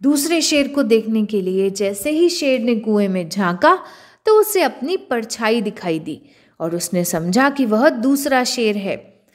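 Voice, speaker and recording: Woman, children's storyteller, high voice, unhurried pace, expressive scripted narration.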